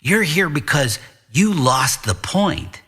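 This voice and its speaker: A man's voice: a little high, big pitch swings, takes it slowly, sharp tone, slightly rough, heavily acted. A man voicing a genial, evasive school counselor who talks in a leisurely, mannered, circling way in an audiobook story. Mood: cryptic, reassuring. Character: genial, evasive male school counselor, self-styled concierge. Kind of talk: audiobook character dialogue.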